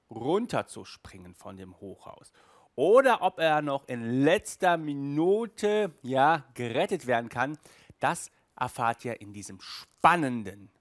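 Man, thirties, mid-pitched voice, casual reviewer, chatty and emphatic, unhurried pace, headset mic, dry room. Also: no echo. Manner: chatty, emphatic, peppered with tag words. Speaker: audio drama reviewer and host. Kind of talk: casual review talk to camera. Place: small indoor room at home.

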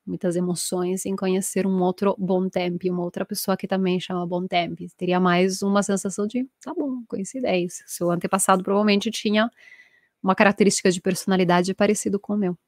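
An Italian woman in her thirties teaches a live online class through an earphone mic, animated and chatty as she reads out viewer comments. Her voice sits at an ordinary middle pitch. The sound is dry and close, with no room echo. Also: brisk. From Italy. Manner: lively, reads viewer comments, gestures a lot. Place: small room at home with bookshelves. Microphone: earphone headset mic.

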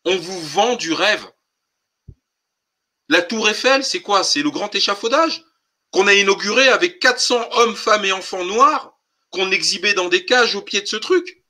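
Forceful, bright-voiced man, emphatic and insistent. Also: high voice, expressive, unhurried. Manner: emphatic, insistent, rhetorical questions, colloquial. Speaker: live stream host. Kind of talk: impassioned political talk on a live stream. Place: online group call.

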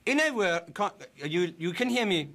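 A man playing a nervous, bumbling German interviewer who speaks halting broken English with a German accent. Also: sits high, animated, fairly bright tone, full character voice. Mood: awkward. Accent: German (put on). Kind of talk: prank interview.

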